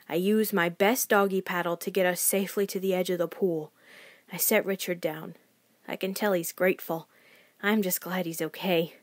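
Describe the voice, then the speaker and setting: A woman's voice: on the low side, no room sound, lightly acted. A woman plays a sensitive young child telling a story in the first person. The delivery is naive, earnest and emotive, confiding in the listener. The sound is dry and close.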